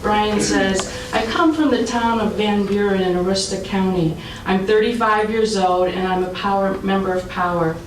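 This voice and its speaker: A woman's voice: mid-range voice, fairly even intonation, moderate pace, a little hiss or hum. A woman steadily reading another person's testimony aloud at a hearing. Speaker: reader of testimony. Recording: echoey hall, distant mic.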